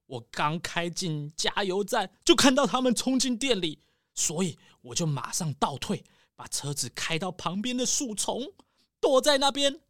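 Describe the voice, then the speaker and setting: A woman's voice: mid-range voice, sing-song, normal speed, fairly bright tone, no room sound, studio quality. A woman playing a nervous, paranoid male squirrel tycoon in a children's audio detective story, stammering and exclaiming dramatically. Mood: agitated, dramatic.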